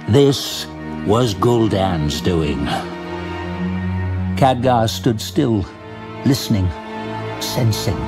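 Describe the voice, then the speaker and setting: A man giving scripted fantasy audio drama narration, measured and dramatic, recorded as a studio voice-over. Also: fairly low pitch. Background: faint music.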